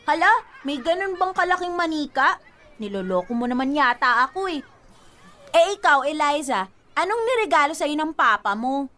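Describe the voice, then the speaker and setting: A woman's voice: sits high, big pitch swings, normal speed, pushed and bright, exaggerated voice. A woman playing a bratty, boastful, nosy little girl in a radio drama, with a childlike, needling delivery.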